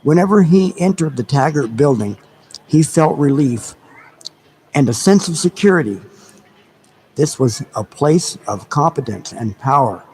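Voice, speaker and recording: Man, slow deliberate audiobook narration, mid pitch, measured pauses, faint background noise. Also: expressive, darkish tone. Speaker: audiobook reader. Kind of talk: live dramatic reading of a novel. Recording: noisy recording with background ambience. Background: background ambience sounds.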